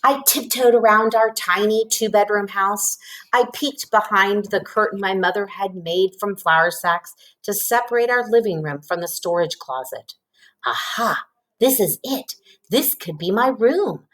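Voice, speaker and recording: A woman reading a children's storybook aloud in an expressive narrating style. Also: mid-range voice, unhurried, rough recording. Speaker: storybook reader.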